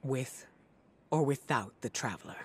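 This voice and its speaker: Slow, somber actor as resolute male leader. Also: medium pitch, slight background noise, natural voice. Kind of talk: solemn game briefing dialogue.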